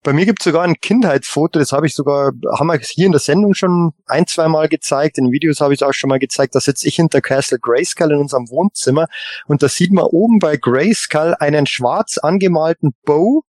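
A man's voice: a little high, brisk, darkish tone, dry recording, studio quality. A man on a podcast panel, casually reminiscing in a conversational style.

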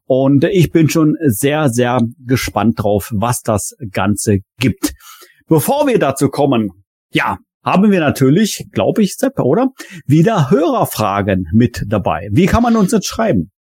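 A man's voice: mid-range voice, very animated, a little slow, darkish tone, breathy edge, no room sound, studio quality. A man hosting a fan podcast in a casual, conversational style. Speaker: podcast host.

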